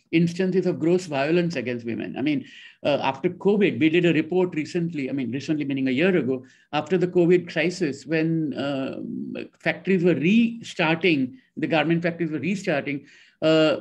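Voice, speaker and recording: A man's voice: a little high, darkish tone. A middle-aged man speaking as a panelist over a video call, reflective and hesitant, often restarting his sentences. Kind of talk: discussion answer. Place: indoor room with bookshelves. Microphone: video call webcam.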